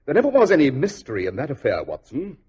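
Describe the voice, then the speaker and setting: An actor playing a brisk, self-assured gentleman detective in a 1940s radio drama, clipped and dryly offhand. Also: on the high side, wildly swooping pitch, exaggerated voice. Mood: brisk, self-satisfied. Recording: dull, rough vintage radio recording.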